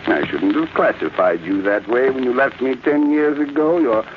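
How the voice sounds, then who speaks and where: An actor playing a bitter, mocking old family patriarch in a theatrical old-time radio drama style. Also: male voice, mid-range voice, close to an everyday voice. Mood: bitter, taunting. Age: older. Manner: theatrical, sardonic, drawn-out taunting. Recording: noisy muffled vintage radio recording.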